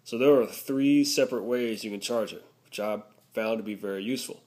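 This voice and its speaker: A man's voice: fairly low pitch, a little fast, darkish tone. A man narrating a casual product review, explaining things step by step.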